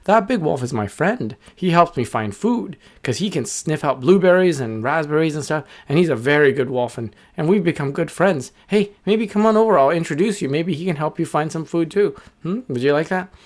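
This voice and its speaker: A man's voice: fairly high pitch, animated, fairly quick, hardly any pauses, voice pushed up, close to an everyday voice. A man voicing a friendly, earnest little bird in a children's story, chatty and loyal. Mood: cheerful, eager. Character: friendly little male bird, cheerful, loyal, standing up for himself.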